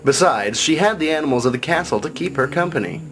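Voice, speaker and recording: Animated male storybook narrator over music. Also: fairly high pitch, quick pace.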